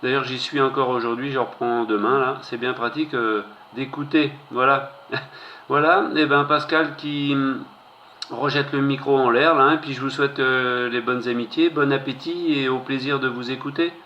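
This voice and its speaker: A man's voice: medium pitch, steady tone, normal speed. A lo-fi radio transmission with faint noise carries a man. He chats casually as an amateur operator, hesitantly building his sentences piece by piece. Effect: two-way radio transmission. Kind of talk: casual radio contact chat.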